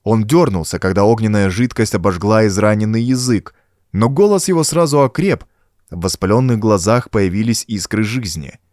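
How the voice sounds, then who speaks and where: A man's voice: on the low side, normal speed, a little mellow, dry recording, clean studio sound. A man reading a horror story as an audiobook narrator, in a dramatic scripted style.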